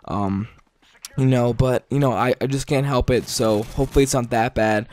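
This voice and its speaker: A tired man giving casual gameplay commentary late at night, stuttering often and filling pauses with ums and likes. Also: mid-range voice, fairly even intonation, darkish tone. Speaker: gaming video commentator.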